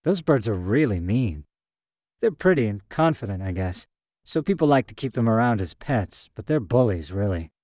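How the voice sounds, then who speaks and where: A man voicing a friendly, lively young woman who talks in a chatty, slightly hurried way. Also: fairly low pitch, dry recording, studio quality. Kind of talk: audio drama short story reading.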